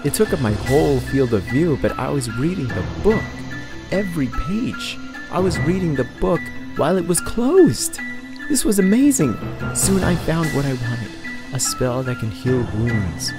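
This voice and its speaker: A man's voice: fairly high pitch, very animated, normal speed. A man voicing a tormented, blinded unicorn pony who recounts his past in an intense, dramatic first-person story reading. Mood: awed, determined. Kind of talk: dramatic reading of fan fiction. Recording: loud music under the voice. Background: prominent background music.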